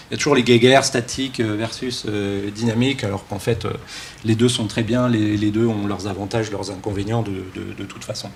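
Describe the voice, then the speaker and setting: A man speaks informally as a conference keynote speaker, joking and halting with many false starts. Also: medium pitch. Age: early 40s. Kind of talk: casual, joking conference talk. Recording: live talk with background room noise.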